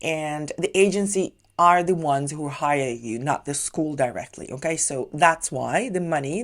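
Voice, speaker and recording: A woman from Ukraine speaks English with a Ukrainian accent in a low voice. She gives casual, chatty advice in a vlog and explains things step by step, with the odd "uh" and "um" along the way. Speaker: English teacher vlogger.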